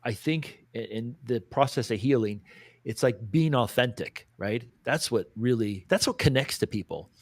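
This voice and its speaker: A man's voice: mid-range voice, lively intonation, moderate pace, no room sound. A man hosting a podcast in a laid-back, casual way. He calls his guest "dude" and "brother" and uses many fillers. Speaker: podcast host. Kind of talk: casual conversation.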